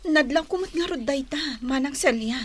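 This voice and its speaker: Woman acting as young mistress, Filipino accent, high voice, gentle, caring, radio drama. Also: normal speed, lightly acted. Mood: concerned.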